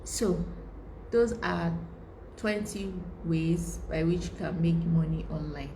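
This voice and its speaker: Echoey, mellow-voiced woman teaching casually. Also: fairly low pitch, slow pace, slight background noise. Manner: conversational, repeats words and restarts, asks "right?". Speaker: online course instructor. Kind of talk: informal lesson to camera.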